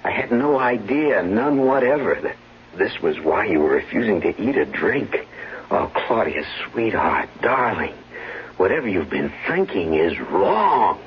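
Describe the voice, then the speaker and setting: A man's voice: lowish voice, sing-song, measured pace. A man playing a deceitful, pleading husband in a 1970s radio drama, earnest and protesting. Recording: muffled, noisy 1970s radio broadcast.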